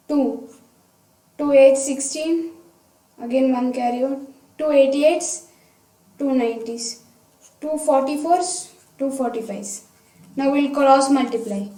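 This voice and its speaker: Female teacher, high soft-toned voice, methodical step-by-step delivery, recorded at a distance from the mic. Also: slow, long pauses. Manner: methodical, talking through each step aloud. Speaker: science teacher. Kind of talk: step-by-step worked problem explanation. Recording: roomy echo, distant microphone.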